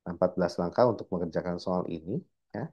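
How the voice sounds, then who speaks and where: Low-voiced man lecturing, slightly muffled. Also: steady tone, quick pace. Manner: asks rhetorical questions and answers them, frequent check-in tags. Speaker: accounting lecturer. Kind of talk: informal recorded lecture, explaining a worked problem step by step.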